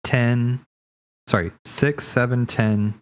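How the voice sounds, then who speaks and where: A man giving casual game commentary as a streamer, thinking aloud in a halting, stammering way. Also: mid-range voice, fairly even intonation. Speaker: streamer hosting a cutscene watch party. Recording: clear background noise.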